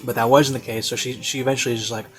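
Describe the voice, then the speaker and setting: A man's voice: mid-range voice, flat delivery, racing. A man giving a casual, unscripted review in a rambling, hesitant way with lots of fillers. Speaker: online reviewer. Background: classical music.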